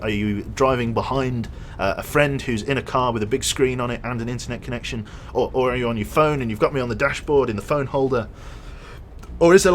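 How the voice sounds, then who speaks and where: A young man streaming a game, rambling casually and chatting with his viewers. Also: mid-range voice. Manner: rambling, chatty, reads chat aloud and jokes. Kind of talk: casual live-stream chat while gaming.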